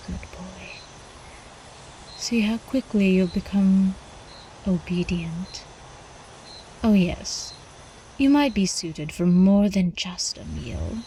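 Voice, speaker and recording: A woman voicing an ancient, seductive vampire countess in an intimate ASMR roleplay, teasing and cooing with quiet menace, often slipping into whispers. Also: mid-range voice, slight background noise, rough recording. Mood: commanding, cooing.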